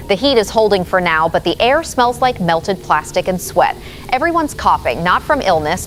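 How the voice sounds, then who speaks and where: Woman playing a field reporter, serious and earnest, bit low pitched, music playing under her. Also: natural voice. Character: earnest, sombre female field reporter amid disaster. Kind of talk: scripted live news report.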